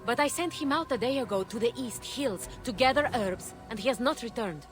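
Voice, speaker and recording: A woman playing a composed, gentle healer of the ancient world, speaking in a measured, earnest way in a video game. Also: a little high, lively intonation, soft background music, close to an everyday voice. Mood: concerned, earnest. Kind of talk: video game cutscene acting.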